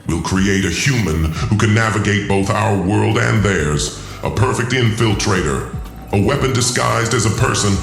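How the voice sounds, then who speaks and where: A man's voice: lowish voice, normal speed, gravelly, voice pushed up. A man voicing a cruel, grandiose alien warlord in an audio drama, commanding, theatrical and gloating. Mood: intense scheming. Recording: echoing voice over music.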